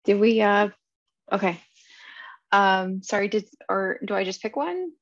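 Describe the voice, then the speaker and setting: A woman's voice: mid-range voice, lively intonation, measured pace, no echo. A woman volunteering in an online class, talking casually and tentatively as she thinks aloud. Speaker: workshop volunteer. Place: online video call. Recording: dull lo-fi call audio, dry.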